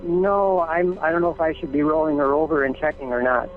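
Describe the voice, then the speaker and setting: A man's voice: fairly high pitch, steady tone, fairly quick. Male emergency caller, hesitant, raised register, phone-band archive audio with faint music underneath. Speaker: bystander calling emergency services. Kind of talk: emergency phone call report. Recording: tinny lo-fi emergency phone line.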